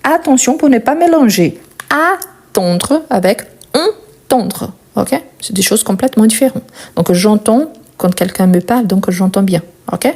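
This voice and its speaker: A woman's voice: fairly high pitch, lively intonation, unhurried, darkish tone, breathy edge. A woman with a non-native French accent giving a live French conjugation lesson, patiently repeating each verb form with fillers and asides. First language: not French. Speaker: French teacher.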